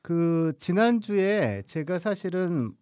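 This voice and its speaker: Hoarse priest chatting slowly on a podcast. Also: male voice, high-pitched, animated, darkish tone, no echo. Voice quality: slow, hesitant delivery with many fillers. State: hoarse, voice strained after lecturing. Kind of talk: casual podcast chat about films.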